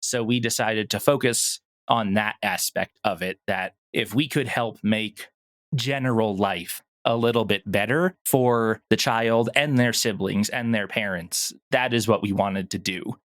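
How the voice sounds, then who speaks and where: Low-pitched man in casual interview, dry sound. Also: measured pace, a bit bright, dry recording. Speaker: podcast guest, audio drama producer.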